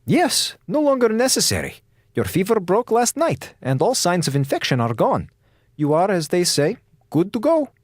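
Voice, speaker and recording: Cold brusque space station doctor, clipped formal talk, super dry close sound, pitch swings a lot. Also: male voice, wildly swooping pitch, normal speed, no room sound, exaggerated voice. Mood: dry, matter-of-fact. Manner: clipped, formal, drops articles. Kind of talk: radio drama acting.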